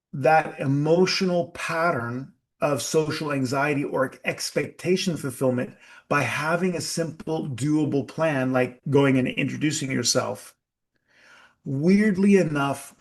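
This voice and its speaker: Workshop leader, male, slightly high voice, slow deliberate delivery, quizzes the room. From United States. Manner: slow, emphatic, asks the audience questions. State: sweating. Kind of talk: live group workshop talk.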